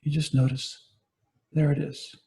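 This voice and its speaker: A man guiding a meditation as a teacher, giving gentle, unhurried instructions with pauses between phrases. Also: on the high side, level tone, gravelly. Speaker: meditation teacher, Buddhist monastic. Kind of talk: guided body scan meditation.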